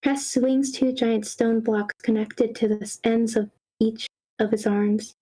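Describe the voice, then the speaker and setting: A woman's voice: a little high, little pitch movement, unhurried, mellow, slightly rough, poor audio. A woman reading screenplay action lines aloud as the narrator of a live table read.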